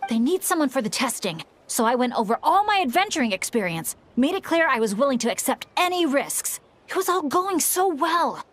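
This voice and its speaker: A woman's voice: high voice, very animated, fairly quick, hardly any pauses. A woman voicing an earnest, eager teenage boy adventurer in a video game, with a boyish manner. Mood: hopeful pleading. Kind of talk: animated video game voice acting. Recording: faint background music.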